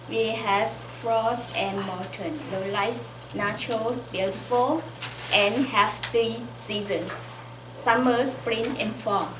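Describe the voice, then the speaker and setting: A woman student telling a personal story, slow and hesitant, listing words one by one. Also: on the high side. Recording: echoey room from a far mic with noise.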